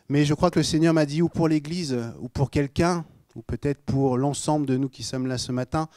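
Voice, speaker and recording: A man's voice: mid-range voice, warm tone, no echo. A middle-aged man from Normandy with a northern French accent, speaking as a guest pastor in an informal, joking, conversational way to a congregation. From Normandy, France. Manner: jokey, conversational, addressing listeners directly.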